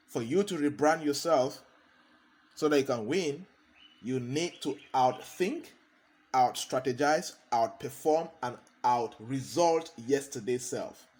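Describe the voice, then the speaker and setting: A man's voice: medium pitch, a little mellow. A man, a consultant giving a motivational talk, hammering points home by repeating key phrases. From Cameroon.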